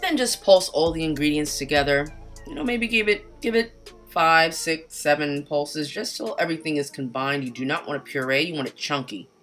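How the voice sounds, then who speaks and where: Low, casual woman narrator for a home cooking voice-over, soft music under her. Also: moderate pace. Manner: relaxed, chatty instructions with casual asides. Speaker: cooking channel host. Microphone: home voice-over mic.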